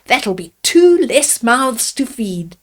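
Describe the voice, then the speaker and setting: A woman reading the part of a wicked fairy-tale stepmother. She is heartless and scheming, coaxing coolly in a fairly high, unhurried voice. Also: very animated.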